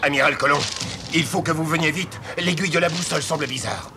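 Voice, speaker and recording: A man's voice: on the high side, fast, very noisy, close to an everyday voice. A man playing an anxious, superstitious male ship's officer in a cartoon, urgent and full of foreboding. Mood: alarmed, worried. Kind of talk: French animated series dubbing. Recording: music behind.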